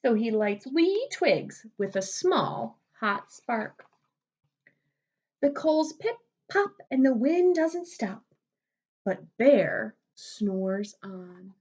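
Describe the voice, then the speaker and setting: An American woman reading aloud to children as a storyteller, with a rhythmic, expressive, drawn-out delivery. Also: fairly high pitch, breathy edge, dull audio. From United States. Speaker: museum educator, storyteller. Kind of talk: children's picture-book read-aloud.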